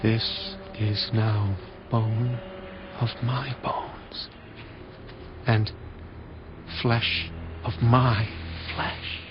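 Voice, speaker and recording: A man's voice: on the low side, little pitch movement, very slow, long pauses, noisy background. An actor playing the first man, reverent and wondering, in a dramatized scripture reading. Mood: awed. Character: Adam, newly made first man, reverent. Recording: dull audio under loud music.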